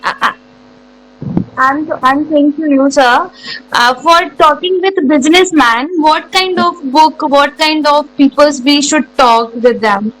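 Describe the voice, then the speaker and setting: A woman student from India asking halting questions in an online English class, searching for words. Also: high-pitched, fairly bright tone, low-quality recording.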